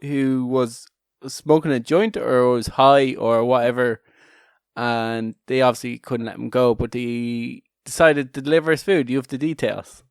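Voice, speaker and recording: A man's voice: mid-range voice, fairly even intonation, clean tone, no room sound. Rambling, joking male podcast host, dry close sound. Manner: rambling, halting, often restarting sentences; joking, irreverent. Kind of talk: casual comedic podcast chat.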